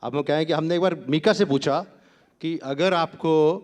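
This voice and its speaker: A male doctor on a conference stage spins a relaxed anecdote, his voice sitting a little high for a man. He talks in a chatty way, drifting between Hindi and English and breaking into laughter. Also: normal speed. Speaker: doctor on a panel.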